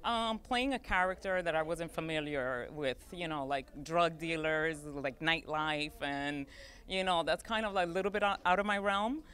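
A woman's voice: low voice, measured pace, fairly bright tone. A middle-aged woman, an actress, chatting casually as an interview guest on a handheld microphone. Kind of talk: casual red-carpet interview in English. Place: indoor event backdrop.

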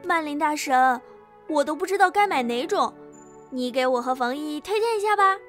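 A woman playing a cheeky, teasing high-school girl in an amateur campus audio drama. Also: lively intonation, moderate pace, a bit bright, long reverb tail, faint music behind.

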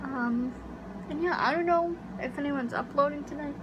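Young woman, higher voice, rambling casual vlog, fillers, webcam close-up, small bedroom, air conditioner hum. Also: moderate pace, dull audio. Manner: rambling, chatty, unsure, many fillers. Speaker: YouTuber. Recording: home recording with audible AC hum.

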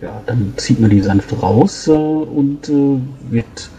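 A man's voice: medium pitch, takes it slowly, mellow, faint music behind. A man playing a tabletop game, casually describing his character's actions and often repeating words as he thinks.

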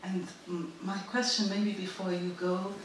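A woman moderating a stage talk, hoarse with her voice nearly gone. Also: medium pitch, flat delivery, a little slow, far from the mic, noisy background. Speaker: host and moderator. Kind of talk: stage conversation. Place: large theater stage with live audience. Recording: echoey stage, picked up from afar.

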